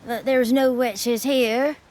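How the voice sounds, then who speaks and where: An actress playing a naive, cheeky little village child in a video game, gossiping in artless, rambling rustic speech. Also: female voice, a little high, steady tone, takes it slowly, darkish tone. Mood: naive, gossipy.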